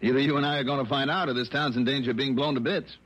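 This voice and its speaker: A man's voice: medium pitch, fairly even intonation, racing, fairly bright tone, close to an everyday voice. An American man playing a tough, clipped private investigator in an old radio drama, speaking with insistent authority. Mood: probing, firm. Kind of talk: 1950s-60s American radio drama acting. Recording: dull, noisy old radio recording.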